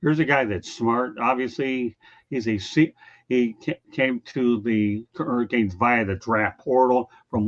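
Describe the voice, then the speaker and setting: A man's voice: medium pitch, lo-fi sound. A man hosting a casual sports talk show, bluntly teasing and ranting at his co-hosts and viewers. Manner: blunt, teasing, addresses chat viewers by name.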